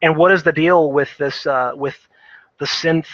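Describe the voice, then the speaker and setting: A man giving casual, opinionated commentary on video games, speaking emphatically in a halting way, restarting and repeating phrases as he thinks. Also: a little high, fairly even intonation, fairly bright tone, no echo, highs cut off.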